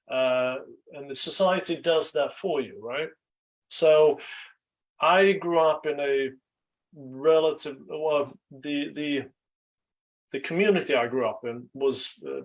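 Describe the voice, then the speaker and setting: A middle-aged man from Sweden speaks reflectively as an interview guest, pausing often and checking in with "right?". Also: medium pitch, fairly even intonation, poor audio. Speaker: podcast guest, life coach.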